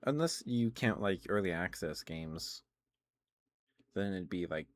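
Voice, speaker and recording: A man with a notably low-pitched voice hosts a casual ASMR livestream. He talks in a loose, meandering way, often circling back to correct himself. The delivery is informal gamer chat, unscripted and conversational. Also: animated, a little slow, dry recording. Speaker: livestream host. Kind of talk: casual rambling ASMR stream chat about video games.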